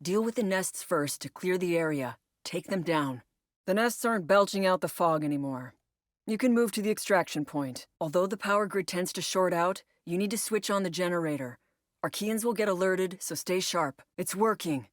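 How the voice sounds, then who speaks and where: Low-voiced military woman, voiced by an actress. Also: normal speed, dry recording, clean studio sound, close to an everyday voice. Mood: focused, commanding. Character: female military operative briefing squad on alien parasite missions. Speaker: mission briefer and incursion leader. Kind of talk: scripted video game mission briefing.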